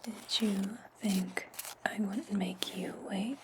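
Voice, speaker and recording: A woman playing a stern, imperious witch in a slow, breathy whispered ASMR roleplay, speaking deliberately close to the microphone. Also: mid-range voice, fairly even intonation, background noise, natural voice. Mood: coldly threatening. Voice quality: breathy, mostly unvoiced whisper. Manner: deliberate, imperious, menacing, drawn-out phrasing. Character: stern, commanding witch demanding servant's obedience.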